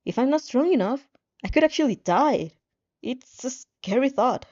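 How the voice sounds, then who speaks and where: Woman as anxious young hero-in-training, hesitant, doubtful, animated intonation, mellow, fan fiction dub. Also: sits high, normal speed, muffled recording. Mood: worried, doubting. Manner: hesitant, stammering, worried. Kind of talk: fan fiction character reading.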